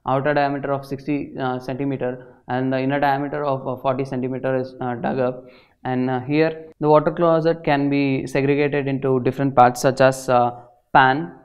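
A young man gives an explanatory lecture in a halting, hesitant way, with frequent filled pauses. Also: mid-range voice, little pitch movement. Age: young adult. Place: indoor studio at a desk. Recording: slightly dull, lo-fi sound.

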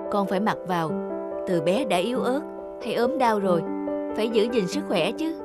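A woman voicing an anxious, doting, overprotective mother in an animated moral tale, fussing and scolding. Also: medium pitch, normal speed, close to an everyday voice. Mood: doting, insistent. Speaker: cartoon voice actor. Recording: loud background music. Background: music.

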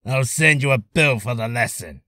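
A man's voice: medium pitch, animated, moderate pace, pushed and bright, dry recording, clean studio sound. A man voicing a smug, cynical mercenary with sardonic, casual menace for a voice reel. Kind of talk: character voice reel.

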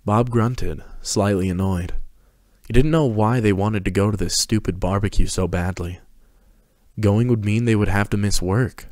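A man's voice: on the low side, moderate pace, a little mellow, no room sound, clean studio sound. A man narrating a scripted story as a dramatic reading.

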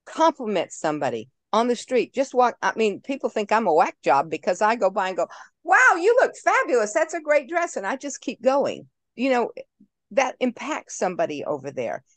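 A woman's voice: on the high side, sing-song, normal speed, fairly bright tone, dry recording. Woman over fifty, actress guest; brassy, blunt, playful delivery, dry sound. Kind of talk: video call interview. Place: home room.

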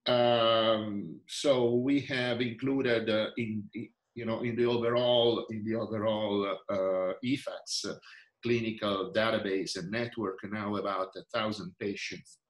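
Older Italian-accented man with a low voice, halting over a video call in an echoey room. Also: steady tone. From Italy. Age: 60s. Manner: halting, many fillers and restarts. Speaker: researcher and physician. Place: indoor room.

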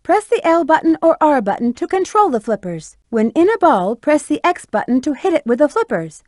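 A woman's voice: high voice, very animated, a little fast, a little mellow, dry recording, studio quality. A woman voicing a sultry, sassy female bat treasure hunter in a video game, teasing, confident and flirtatious. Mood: instructive, confident. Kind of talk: video game character voice acting.

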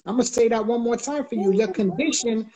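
A man's voice: very high voice, fairly quick, a little mellow. A middle-aged man from the Bronx. He gives an emphatic motivational talk on a live video call and repeats key phrases like a preacher. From the Bronx, New York. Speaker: guest motivational speaker. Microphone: video call, close webcam or phone.

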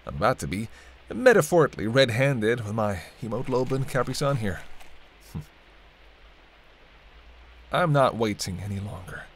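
A man's voice: medium pitch, sing-song, moderate pace, pauses often, slightly rough. A man playing a sardonic, teasing vampire in an audio roleplay, talking casually and wryly to the listener as a reluctant companion. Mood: exasperated, bantering. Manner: wry, bantering, casual, muttered asides. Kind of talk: intimate audio roleplay acting addressed to the listener.

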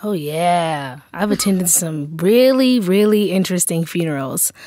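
A woman's voice: on the low side, animated, measured pace, dark tone. A woman actor chatting cheerfully about audio theater and funerals. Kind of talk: casual interview chat.

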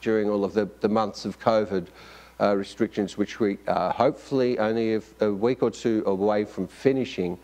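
A man's voice: fairly low pitch, fairly even intonation, moderate pace, a little mellow. A middle-aged man hosting an arts interview show, asking questions with many fillers and restarts. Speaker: host. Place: studio with staircase.

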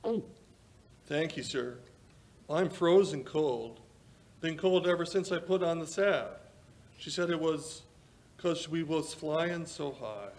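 An older man playing a frail, dazed old villager, rambling haltingly in a radio drama. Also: on the high side, a little mellow. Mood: dazed, shivering. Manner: halting, drawn-out delirious rambling. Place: small indoor stage, live performance. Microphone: vintage-style stand microphone.

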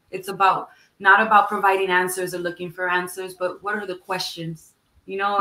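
A woman's voice: mid-range voice, bright, forceful tone, echoey room, low-quality recording. A woman in her thirties, an author, talking earnestly and thoughtfully on a video call from home, often restarting as she thinks. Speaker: author guest. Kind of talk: casual conversation on a video call. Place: room at home. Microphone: laptop webcam mic.

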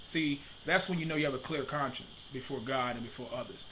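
A man's voice: medium pitch, brisk, fairly bright tone, slight background noise, poor audio. A man in his thirties from Detroit, giving an emphatic preaching-style commentary to a webcam in his home study and repeating his questions for force. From Detroit, USA. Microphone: webcam at desk.

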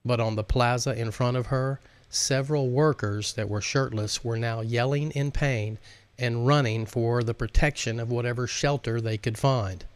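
A man's voice: mid-range voice, steady tone, moderate pace, clean tone, dry recording. A man in his fifties hosting a live stream, speaking as an author who chats informally and reads his audiobook aloud. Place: indoor studio, close up, virtual backdrop. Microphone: large microphone on a stand in front of him.

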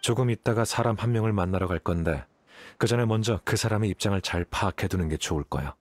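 A man playing a calm, aloof young scholar in a Korean game, explaining things in a composed, matter-of-fact way. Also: on the low side, quick pace, lightly acted. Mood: composed, detached. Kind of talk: Korean game dialogue voice acting.

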